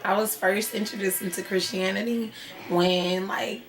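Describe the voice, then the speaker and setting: A woman's voice: medium pitch, steady tone, unhurried, a little hiss or hum, poor audio. A woman in her twenties answering interview questions casually, with many fillers. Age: 20s.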